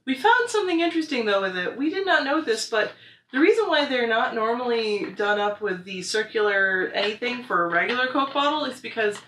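A young American woman chatting casually and excitably to camera as a vlogger showing off her purchases. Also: on the high side, a little fast. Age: young adult. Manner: excitable, gushing. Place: small living room, on a couch. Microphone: camera mic a few feet away. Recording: roomy echo, noisy camera audio.

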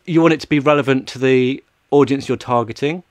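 Man, thirties, casual tech panel talk, explanatory, mid-pitched, dry close recording. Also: quick pace, no echo. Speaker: podcast panellist. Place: small home room with shelves.

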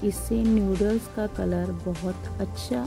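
A woman's voice: mid-range voice, fairly even intonation, takes it slowly, vocal fry, over loud music. A woman hosting a cooking channel, giving a step-by-step recipe voice-over in short, instructive phrases.

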